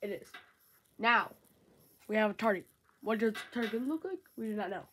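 A woman's voice: mid-range voice, a bit bright, slight background noise. A woman with a sore throat, casually commenting on her game from a bedroom and rambling to herself. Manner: slow, rambling, talks to self. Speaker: gamer.

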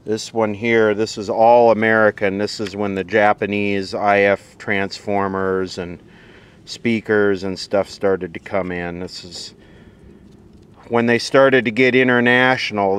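Slow, low-pitched dry-talking man. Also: smooth. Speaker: video host and radio repair hobbyist. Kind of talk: casual unscripted demonstration narration.

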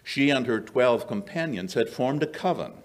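An older man acting a shrewd, dry-witted gentleman detective in old-time radio style, with measured, theatrical storytelling. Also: mid-range voice, animated, natural voice. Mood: sardonic, grave. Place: small indoor stage before an audience. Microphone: vintage-style stand microphone.

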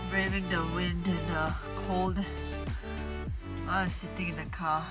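Young Singaporean woman vlogging over loud music. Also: lowish voice, little pitch movement, takes it slowly. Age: 20s. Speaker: travel vlogger. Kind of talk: casual vlog chat. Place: mostly inside a parked or moving car.

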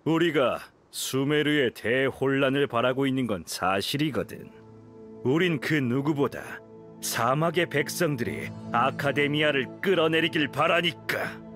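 Scheming male thug, very animated mid-pitched voice, smug, gloating, game dialogue with music behind. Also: unhurried. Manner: sneering, gloating. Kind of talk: video game voice acting.

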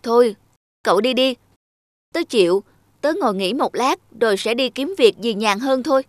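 A woman voicing a lazy, dreamy young man in a cartoon, a breezy flatterer given to exclamations. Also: fairly high pitch, normal speed, no echo, studio quality, lightly acted. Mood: lazy, dismissive. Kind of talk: scripted cartoon dubbing.

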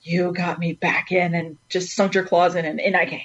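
A woman's voice: fairly low pitch, level tone, moderate pace, a bit bright. Female podcast panelist, slightly muffled sound. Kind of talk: casual chat. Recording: dull lo-fi sound.

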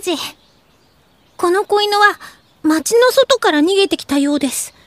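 A woman's voice: very high voice, lively intonation, measured pace. A woman voicing a small, earnest talking beast familiar who speaks politely and formally to its master. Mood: earnest reporting. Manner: polite, formal servant's speech.

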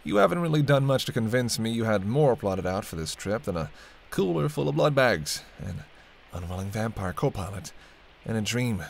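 Casting: male actor as a wry, bantering vampire for intimate audio roleplay, lowish voice, noticeable background noise. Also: animated, moderate pace, vocal fry, hardly any pauses. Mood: needling, sardonic. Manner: wry, bantering, casual, muttered asides. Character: sardonic male vampire, teasing reluctant road-trip companion. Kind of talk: intimate audio roleplay acting addressed to the listener.

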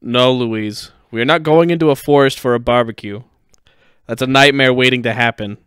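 A man plays a weary, grumpy cartoon dad. He grumbles in a deadpan way, with a clean, smooth, mid-pitched voice and a dry, close sound. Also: normal speed, no room sound, lightly acted. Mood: grumbling. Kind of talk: fan fiction dramatic reading.

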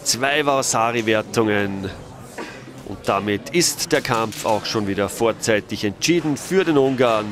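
A man's voice: lowish voice, a little slow, over music. A man giving matter-of-fact commentary as a voice-over, lifting his voice at the key moments. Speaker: sports commentator. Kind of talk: recorded match commentary voice-over. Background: cheering crowd in a sports hall.

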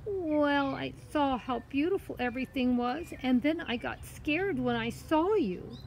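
A woman's voice: high voice, lively intonation, a little slow, gravelly, voice pushed up, heavily acted, poor audio. A woman voicing a timid, polite little girl in a children's story, hesitant and earnest. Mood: apologetic, timid. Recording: outdoor ambient noise, faint.

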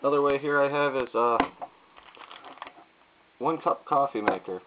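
A man casually talking through camping coffee methods at a table indoors, pausing often with "uh" and "um". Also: medium pitch. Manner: plain, unhurried, frequent "uh" and "um" pauses. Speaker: YouTube vlogger. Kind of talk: casual gear demonstration. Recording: tinny lo-fi audio with faint hiss.

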